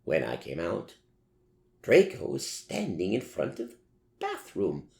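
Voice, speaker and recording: A man reading a story aloud as theatrical narration in the style of an old horror-film star, savouring each phrase deliberately. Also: low-pitched, huge pitch swings, vocal fry, warm tone. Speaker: story reader. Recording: roomy, echoing voice recording.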